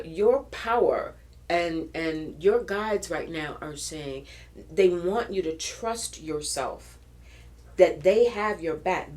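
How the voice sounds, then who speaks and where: A low-pitched woman reads tarot cards in an earnest, encouraging way. Her voice carries a slight early-morning rasp, and she often restarts her phrases as she reaches for spiritual wording. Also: a little mellow. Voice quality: raspy. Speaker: tarot reader. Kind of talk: pick-a-card tarot reading.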